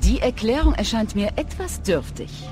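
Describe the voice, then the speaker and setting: Serious female detective played by an actress, medium pitch, expressive delivery, music underneath; radio play. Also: moderate pace. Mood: sceptical. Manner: businesslike, sceptical. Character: serious, driven female police investigator Carla. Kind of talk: audio drama acting of a video game story.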